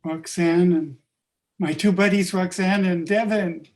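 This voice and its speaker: A man who stutters, presenting a workshop on a video call, talking haltingly and joking. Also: high voice, fairly even intonation, a little mellow. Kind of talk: casual thanks in a group call.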